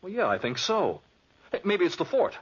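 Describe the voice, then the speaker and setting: Wry, husky traveler Charles. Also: male voice, high-pitched, very animated, moderate pace, heavily acted. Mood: hungry, wry. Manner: dry, sardonic. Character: wry, grumbling married young traveler and narrator Charles. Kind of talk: 1940s radio drama acting. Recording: dull lo-fi 1940s radio recording.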